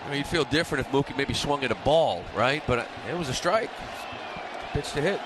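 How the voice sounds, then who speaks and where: A man's voice: mid-range voice, fairly quick, noisy background. A man with an American accent giving emphatic, colloquial analysis on a live baseball radio broadcast. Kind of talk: conversational sports analysis.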